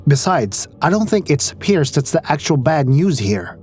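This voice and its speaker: A man's voice: on the high side, big pitch swings, a little slow, a little mellow, soft background music. A man playing a wry, pragmatic space crewman in an audio drama, dry and a little exasperated. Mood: tense, pleading.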